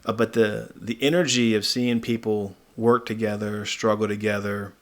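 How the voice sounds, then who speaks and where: A man with a fairly low voice hosts a podcast. He speaks in a casual, conversational way, in the style of an informal interview. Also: little pitch movement, normal speed.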